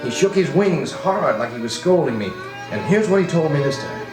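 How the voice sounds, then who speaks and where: An actor playing a grown man recalling his farm boyhood, narrating a children's record drama in an unhurried, expressive way. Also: on the high side. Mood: reflective storytelling. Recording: vintage 45 rpm record, music behind, roomy.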